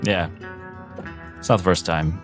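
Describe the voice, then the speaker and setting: A man's voice: low-pitched, steady tone, unhurried, soft background music. A man voicing a weary, grudging tavern owner in a tabletop game, terse and matter-of-fact.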